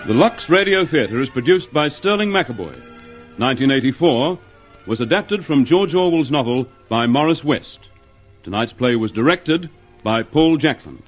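A man announcing in formal, measured vintage radio style, reading out programme credits. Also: medium pitch, expressive, dull audio. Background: music.

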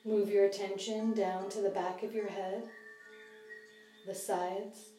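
A woman guides a body scan meditation in a soft, mellow voice. She gives gentle instructions with long pauses. The recording is roomy and a little distant from the mic, with music behind her. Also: mid-range voice, flat delivery.

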